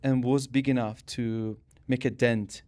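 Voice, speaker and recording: A man's voice: mid-range voice, fairly even intonation, unhurried, a little mellow. A man hosting an interview, chatty and prone to joking asides. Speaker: interview host. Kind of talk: interview conversation.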